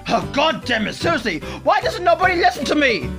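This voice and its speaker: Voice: high-pitched, big pitch swings, fairly quick, creaky, over music, heavily acted. A performer voicing an earnest killjoy creature who protests and moralizes in an amateur comedy skit. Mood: worried protest. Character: earnest, nagging killjoy creature, worried about rules.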